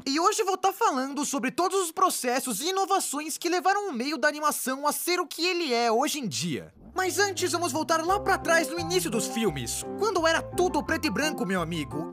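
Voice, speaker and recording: A high, very animated young cartoon narrator , voiced by a woman, chats through an explainer. The delivery is cheeky, exclamatory and casual, aimed right at the viewer, with light music underneath. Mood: playful, explaining. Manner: chatty, exclamatory, addresses the viewer directly.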